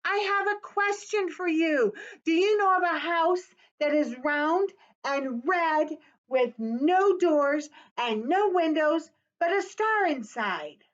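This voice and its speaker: A woman voicing a small, bored boy who pleads and asks questions in a high, whiny voice. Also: expressive, slow pace, heavily acted. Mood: pleading. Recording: dull webcam audio.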